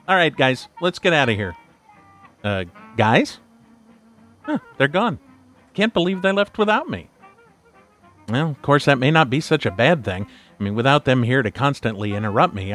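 A man's voice: mid-range voice, fairly bright tone. A man playing an eager, put-upon podcast host in an amateur audio drama.

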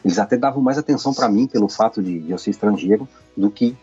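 A man talking as a podcast guest, telling his story in a lively, casual way and often calling his host "cara". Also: fairly low pitch, fast talker, breathy edge, dull audio, faint music behind. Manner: animated storytelling, peppered with "cara".

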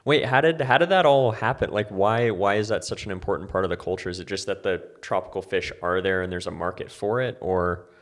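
Deep-voiced podcast host. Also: male voice, a little fast, few pauses. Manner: enthusiastic, loose phrasing with fillers. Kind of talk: casual interview conversation.

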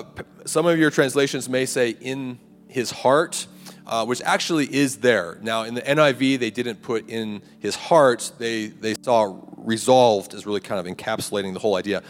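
A man preaching a conversational sermon to a campus ministry, his voice raspy and a little hoarse. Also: medium pitch. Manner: conversational preaching with rhetorical "right?" and "like". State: hoarse, clearing throat. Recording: live hall recording, long reverb, faint music.

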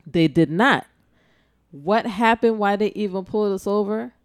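A woman's voice: mid-range voice, unhurried, smooth, no room sound, clean studio sound. A woman co-hosting a podcast, telling a story in casual chat and acting out the dialogue as she goes. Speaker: podcast co-host.

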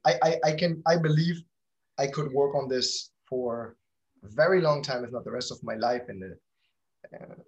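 A man's voice: medium pitch, rough recording. A middle-aged man with a German accent, a native German speaker, talking casually as an interview guest and often searching for words. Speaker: podcast guest, company founder.

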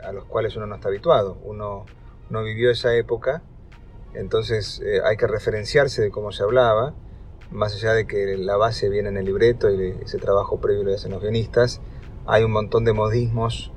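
A man's voice: on the low side, steady tone, normal speed, darkish tone. A man explaining his work as a director in an interview. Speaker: TV series director being interviewed.

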